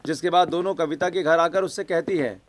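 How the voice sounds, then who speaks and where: A man's voice: a little high, steady tone, fairly quick. A man narrating a scripted cartoon story.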